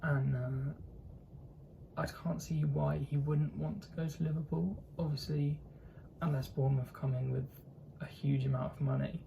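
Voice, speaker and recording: A man's voice: on the high side, steady tone, normal speed, gravelly, mellow, highs cut off, a little hiss or hum. A young man with a posh southern English accent hosting his own football channel, talking casually and hesitantly with lots of fillers and restarts. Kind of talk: casual solo commentary.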